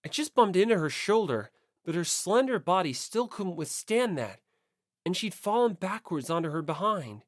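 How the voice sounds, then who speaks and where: A woman narrates a scripted story in the first person as an audiobook reader. Her pitch is animated and varied. The recording is very dry. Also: moderate pace, dry recording.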